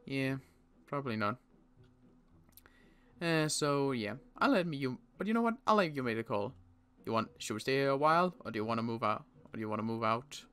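A young man playing a protective survivor in an in-character roleplay, talking in a halting way with frequent restarts. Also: mid-range voice, lively intonation, a bit bright, smooth. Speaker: roleplay series player.

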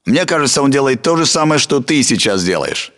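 A man's voice: medium pitch, sing-song, brisk, a bit bright. A man playing a Russian soldier guarding a base in a military video game. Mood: teasing, chatty.

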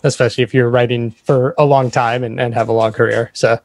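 A man's voice: mid-range voice, flat delivery, fairly quick, creaky. A man hosting a podcast, asking questions in a casual, conversational interview style. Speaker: podcast host interviewing a band.

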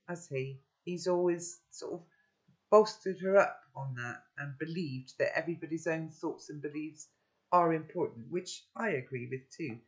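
Older woman reading a story aloud at home. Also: sits low. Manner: gentle, musing, asks rhetorical questions. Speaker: reader of a children's novel. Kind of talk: read-aloud storytelling with chat. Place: home room, indoors. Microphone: laptop webcam.

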